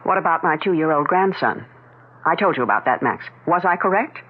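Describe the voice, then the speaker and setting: A woman's voice: sits low, animated, brisk. An actress playing an earnest, gracious grandmother, insistent and sure of herself, in a 1970s radio drama. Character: earnest grandmother in hospital, convinced of her premonitions. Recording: muffled, phone-like 1970s radio recording.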